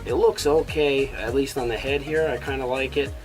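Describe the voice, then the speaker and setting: Man with a cold, raspy. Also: medium pitch, fairly even intonation, normal speed, darkish tone, soft background music, poor audio. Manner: enthusiastic, many "uh" fillers. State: sick with a cold, slightly raspy. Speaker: toy reviewer, channel host. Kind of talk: casual product review.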